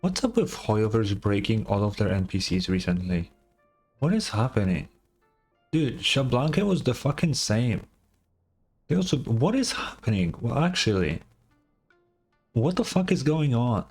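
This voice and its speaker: A man's voice: mid-range voice, sing-song, unhurried, pauses often, darkish tone, breathy edge, faint music behind. A man giving casual, incredulous, sweary reaction commentary as a game streamer.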